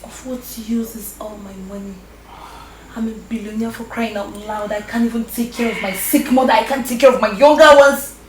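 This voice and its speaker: A woman's voice: on the high side, quick pace, slight background noise, close to an everyday voice. An actress playing a wealthy young woman, tearful and anguished, pleading and crying out. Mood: grieving. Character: rich young woman torn over her sick mother. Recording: roomy echo with music underneath.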